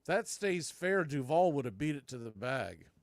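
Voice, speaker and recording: A man's voice: a little high, very animated, normal speed, dry recording. A man doing live baseball play-by-play as a stream host, mixing clipped calls with folksy, joking asides and casual chat.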